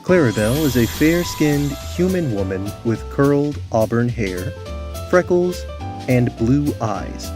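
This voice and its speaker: A man narrating a scripted tabletop fantasy adventure in a deliberate, theatrical way. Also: medium pitch, animated, over music. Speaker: narrator and game host.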